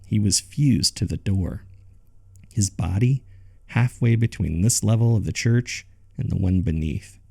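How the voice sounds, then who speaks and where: A grave, haunted man tells his horror story in a low, dark voice. Heavy creak runs through his somber, measured narration. The delivery is suspenseful, in the manner of a scripted audio drama. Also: full character voice. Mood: somber, fearful.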